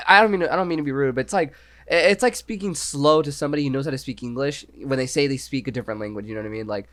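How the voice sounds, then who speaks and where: Young guy on a podcast, rambly chill chat, mid pitch, keeps saying you know what i mean. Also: fast talker, fairly bright tone. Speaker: podcast co-host. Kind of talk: casual chat.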